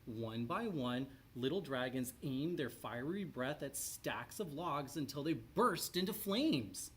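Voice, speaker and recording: Male storybook narrator for children: animated, varied intonation. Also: mid-range voice, takes it slowly, slight background noise. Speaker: storybook reader.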